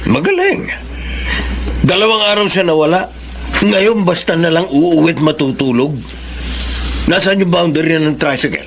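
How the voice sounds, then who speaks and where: A man's voice: a little high, expressive, moderate pace, long pauses, a little hiss or hum. A man playing a stern, scolding middle-aged father in a Filipino radio drama. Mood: displeased. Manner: scolding, emphatic. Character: stern, outraged working-class father.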